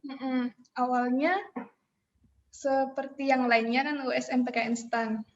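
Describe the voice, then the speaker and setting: A woman's voice: high-pitched, level tone, a little slow, slight background noise. A woman with a North Sumatran Indonesian accent, a podcast guest telling her story in a friendly, upbeat way over dull lo-fi remote audio. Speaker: podcast guest, customs officer. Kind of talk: casual interview chat.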